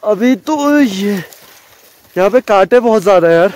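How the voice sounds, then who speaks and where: A young man talks eagerly to his viewers as he camps on an open mountain meadow. His voice sits high for a man, and he calls out to the people around him. It is picked up by an action camera out in the open. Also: measured pace. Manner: excited, chatty, calls out to viewers. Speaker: travel vlogger. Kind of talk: casual camping vlog. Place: outdoors on a mountain meadow by a campfire.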